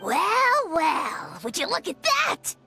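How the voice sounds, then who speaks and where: A woman voicing a boastful, bratty tiny dragon lord in a video game, pompous, loud and theatrical. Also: very high voice, wildly swooping pitch, slow, gravelly, soft background music, full character voice. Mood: gleeful, boastful.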